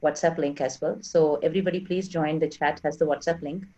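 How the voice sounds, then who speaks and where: A woman's voice: low-pitched, steady tone, brisk, mellow, lo-fi sound. Polite woman moderating an online class. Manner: polite, brief, accommodating. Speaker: class organizer. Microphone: video call.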